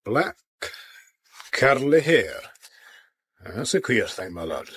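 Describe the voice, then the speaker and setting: An actor in a radio drama playing a dogged, deferential country police inspector with a Scottish accent and homely, plain-spoken manner. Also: male voice, medium pitch, sing-song, takes it slowly, a bit bright, husky, no echo, clean studio sound, heavily acted. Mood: dogged, puzzled.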